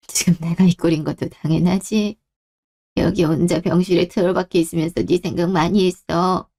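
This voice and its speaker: A woman voicing a sharp-tongued older mother-in-law who later turns remorseful in a radio drama. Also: on the low side, natural voice. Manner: scolding, then remorseful and pleading.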